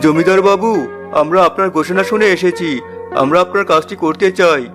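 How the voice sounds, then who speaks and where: Eldest brother, male actor: high voice, responsible, emphatic, fairy-tale cartoon with music behind. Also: a little fast, close to an everyday voice. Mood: hopeful. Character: earnest, responsible eldest brother, young village man.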